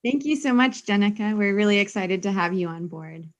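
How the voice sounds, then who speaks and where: A woman's voice: medium pitch, animated, a little fast. A woman leading a public meeting, introducing new staff in a polite, welcoming way over a video call. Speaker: science program lead at a meeting.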